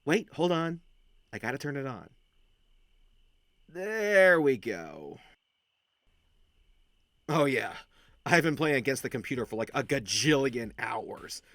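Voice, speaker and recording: A man's voice: mid-range voice, slow, long pauses, dry recording, heavily acted. A man voicing a friendly, chatty young male werewolf who talks in teasing gamer slang in an audio roleplay. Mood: casual, confiding. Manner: slangy, hushed-to-excitable, teasing.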